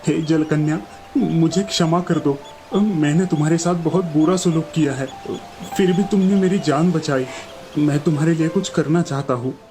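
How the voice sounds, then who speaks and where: A man's voice: on the high side, normal speed, darkish tone, faint music behind, lightly acted. A man playing a greedy, scheming village magician and showman with theatrical delivery in a moral story cartoon. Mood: remorseful.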